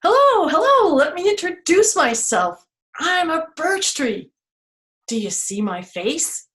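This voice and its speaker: A woman's voice: sing-song, takes it slowly, exaggerated voice. A woman voicing a chatty, joke-loving talking birch tree in a children's story. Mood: cheery. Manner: bubbly, high and bright, giggly puns.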